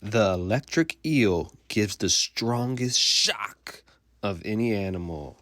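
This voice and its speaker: A man's voice: on the low side, animated, slow, a bit bright, no echo, studio quality. A man reading a children's picture book aloud like a teacher, explaining simply and putting questions to young listeners.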